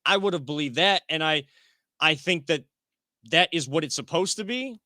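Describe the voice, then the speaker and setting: A man's voice: a little high, lively intonation, normal speed, sharp tone, no room sound, clean studio sound. A man hosting a casual sports talk show, thinking aloud and often restarting his sentences. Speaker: sports podcast host.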